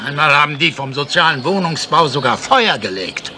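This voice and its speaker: An old gardener in a vintage radio crime play, humble yet proud of his craft. His rambling, wistful talk swings up and down in pitch. Birds and clear noise sit behind him on the old recording. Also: sing-song, moderate pace, pushed and bright, full character voice. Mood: guarded, aggrieved. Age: older. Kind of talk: German radio crime drama acting.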